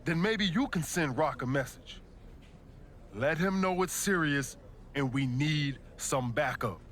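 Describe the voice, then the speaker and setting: A man's voice: on the high side, expressive, measured pace, fairly bright tone, slight background noise. A man playing a fiery pro wrestler in a video game, speaking slangy, punchy lines with emphasis. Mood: casual confidence. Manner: slangy, punchy, emphatic delivery in short bursts. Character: fiery pro wrestler rallying his allies. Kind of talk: wrestling promo and video game cutscene acting.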